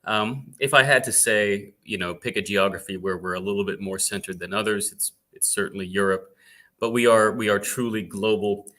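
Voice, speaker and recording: Low bright man, halting interview host. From United States. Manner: halting, repeats words often. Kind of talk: online fireside chat interview.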